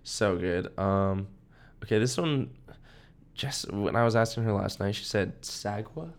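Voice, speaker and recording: A man's voice: fairly low pitch, unhurried, vocal fry. A young American man co-hosting a podcast in casual chat, talking with enthusiastic, slangy phrasing. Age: young adult. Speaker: podcast co-host.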